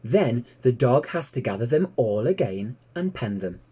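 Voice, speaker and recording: Man doing a motherly lady sheepdog, patient, kindly, explains stuff, lifted pitch, lively. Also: normal speed, breathy edge. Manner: gentle explaining.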